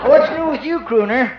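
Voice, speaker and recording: An actor playing a wisecracking, folksy older country veterinarian in a 1950s radio comedy. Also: male voice, very high voice, heavily acted. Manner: teasing, folksy, drawn-out delivery. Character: wisecracking folksy older male small-town horse doctor. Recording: noisy, muffled old radio recording.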